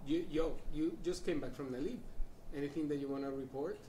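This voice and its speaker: A man moderating a panel conversation, relaxed and wry, with hesitant fillers. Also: on the high side, a little fast. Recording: roomy sound from a distant mic with faint noise.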